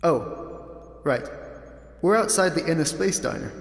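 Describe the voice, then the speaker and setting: Deadpan, higher-voiced AI kettle. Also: male voice, unhurried, faint music behind. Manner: deadpan, pedantic. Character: hapless, literal-minded, dryly pedantic AI in a kettle. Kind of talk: radio sitcom acting.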